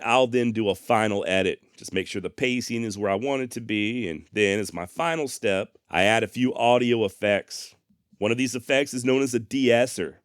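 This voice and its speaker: A man hosting a podcast in a deep voice, talking casually and plainly to his listeners with emphatic, salty phrasing, close on a studio microphone. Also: dry recording. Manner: plainspoken, mildly profane, emphatic. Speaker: podcast host. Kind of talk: casual direct address to listeners. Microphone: close dynamic studio microphone, processed.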